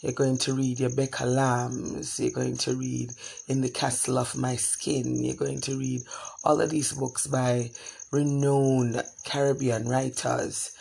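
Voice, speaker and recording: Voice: medium pitch, flat delivery, unhurried, vocal fry, darkish tone, slightly rough, rough recording. A therapist from Jamaica, telling a reflective story in a thoughtful way with frequent restarts.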